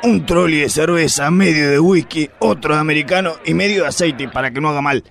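A man's voice: a little high, brisk, creaky, a bit bright. A man playing a crude blustering cop. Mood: boastful. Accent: Rioplatense Spanish. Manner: blustering, melodramatic, vulgar outbursts. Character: crude boastful corrupt male police inspector. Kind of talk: amateur radio drama acting.

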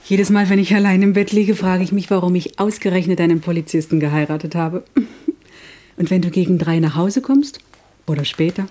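Low-pitched, wry loving wife played by a woman. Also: normal speed, mellow, natural voice. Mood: fond, teasing. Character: affectionate, wryly teasing wife of a policeman. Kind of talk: German crime radio drama acting.

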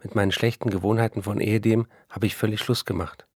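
Radio drama: an effusive, self-doubting male writer, low-pitched, dry close sound. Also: steady tone, quick pace, darkish tone, breathy edge, no echo. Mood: desperate, pleading. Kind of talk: radio play, reading letters aloud.

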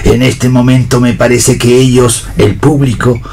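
A man's voice: mid-range voice, little pitch movement, a little slow, darkish tone. An actor playing a wry, bossy man in a theatrical radio play reading. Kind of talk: read-theatre stage acting on radio.

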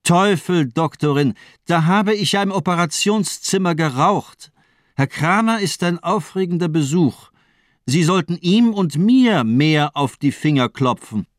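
A man's voice: on the high side, lively intonation, unhurried, dry recording, clean studio sound. A man playing a coolly mocking, menacing doctor in a German radio drama, speaking with polite, articulate condescension. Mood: brisk, jovial. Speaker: actor in a crime radio play.

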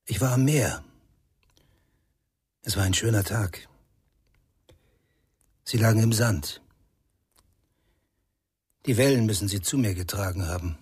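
A man acting in a German radio crime drama, playing a tall gaunt African man, calm, enigmatic and faintly mocking. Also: fairly low pitch, slow pace, pauses often, breathy edge, no echo, studio quality, full character voice. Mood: calm, knowing.

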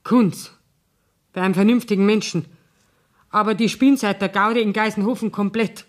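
A woman's voice: medium pitch, steady tone, moderate pace, lightly acted. A worried Bavarian woman, played by an actress, plaintive and guarded. She complains in dialect, in the manner of a radio drama. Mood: complaining. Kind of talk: 1970s German radio play acting.

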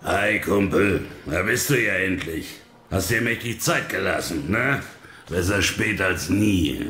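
Slow, deep, smug thug. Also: male voice, expressive, bright, forceful tone, echoey room, slight background noise, heavily acted. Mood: smug, mocking. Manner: mock-chummy, drawling menace. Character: smug, menacing male thug, taunting and unhurried. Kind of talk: German radio play acting.

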